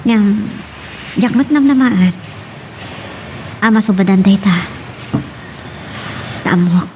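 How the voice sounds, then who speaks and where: A woman's voice: medium pitch, takes it slowly, darkish tone. A woman playing an earnest young female student who works in a bar, in an Ilocano drama. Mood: remorseful, pleading. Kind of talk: Ilocano radio-style drama acting. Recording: clear background noise.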